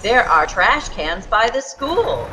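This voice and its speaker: A woman reading a picture book aloud to young children as a storyteller, with expressive, exaggerated emphasis and music behind her. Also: mid-range voice, slow pace, noisy background. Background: background music.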